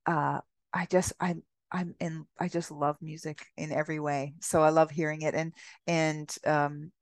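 Brief: woman guest, lower voice, dry close sound, laughs a lot, muses aloud. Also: flat delivery, a little slow, no echo. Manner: laughs often, rambling and reflective. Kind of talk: casual podcast conversation.

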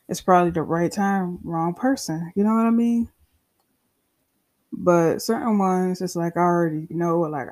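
A young podcast host in their twenties, talking alone in a loose, unscripted way. The delivery is slow and unhurried, the tone mellow and dark, candid and profane with frequent asides. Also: mid-range voice. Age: 20s. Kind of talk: casual solo monologue.

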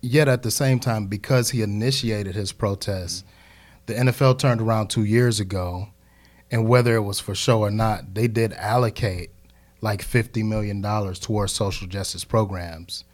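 A man's voice: fairly low pitch, fairly even intonation, moderate pace. A man in his thirties in a casual group discussion, building reasoned points with frequent hedges. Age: 30s.

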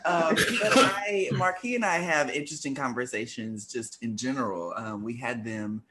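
A man's voice: mid-range voice, moderate pace, low-quality recording. A man with a slight Arkansas accent, guest co-host on a casual podcast, talking animatedly with frequent laughs. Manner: laughs often, animated, many fillers.

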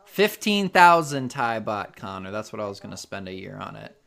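A man's voice: lowish voice, big pitch swings, normal speed, creaky, sharp tone. A man hosting a podcast, chatting casually on a voice call, rambling with many restarts. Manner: rambling, lots of restarts and fillers. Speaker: podcast host.

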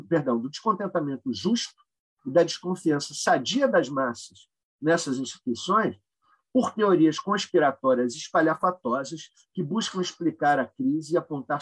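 A man's voice: fairly high pitch, husky, low-quality recording. A Brazilian man speaking as a panelist, reading a formal, erudite academic paper with brief informal asides.

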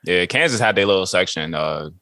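A man hosting a podcast in casual sports chat, slangy and profane, with a voice hoarse from shouting. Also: low-pitched, animated, brisk, no echo, studio quality. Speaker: podcast host.

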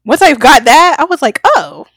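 A woman's voice: sits high, normal speed, no echo, clean studio sound. A young woman from the American South co-hosting a podcast, telling stories candidly and with animation. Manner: candid, animated storytelling with bursts of laughter. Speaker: podcast co-host. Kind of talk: casual chat.

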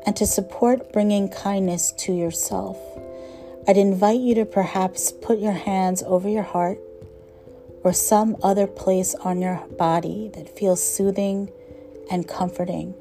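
A woman guiding a meditation with slow, gentle instruction in a soothing, measured manner, letting phrase ends trail softly. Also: medium pitch, steady tone, gravelly, faint music behind. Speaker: meditation guide.

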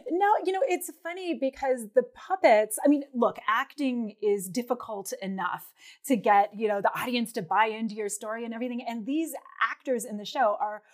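A woman's voice: a little high, moderate pace. A woman with an American accent interviewing a guest in a conversational style.

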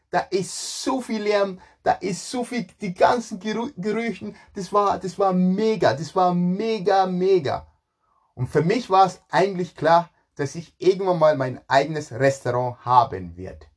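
Guy in his 40s, lively swoopy pitch, bit husky, telling his own story. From Ghana, moved to Germany at thirteen. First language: not German. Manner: animated, emphatic, repeats words for emphasis. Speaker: speaker telling own career story, cook. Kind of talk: informal personal storytelling to an audience.

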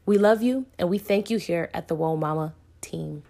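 A woman in her thirties with a somewhat low-pitched voice talks to her podcast listeners about faith. Her delivery is inspirational and earnest. She leans on key words and repeats phrases in the manner of a preacher. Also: moderate pace, gravelly, dark tone, slight background noise. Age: 30s. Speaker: podcast host.

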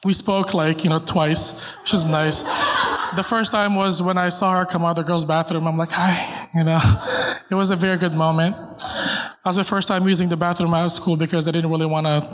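A man's voice: fairly high pitch, little pitch movement, moderate pace, a bit bright. A young man giving a live storytelling talk to an audience, joking and self-deprecating, chatting with the crowd and stammering through restarts. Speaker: guest speaker at a live storytelling event. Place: event room with a live audience.

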